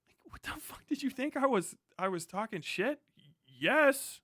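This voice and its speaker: A man's voice: very high-pitched, animated, normal speed, a bit bright, no room sound, clean studio sound. A man giving a casual, blunt, profanity-heavy livestream rant as host, talking straight to his viewers. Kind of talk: casual livestream commentary, reacting to viewer chat.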